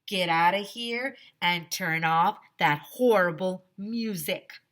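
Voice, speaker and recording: A woman voicing a mean, bullying male school principal who barks slow, menacing orders in a children's storybook. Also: fairly low pitch. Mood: commanding. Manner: barking, menacing, drawn-out shouting. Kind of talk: children's storybook read-aloud.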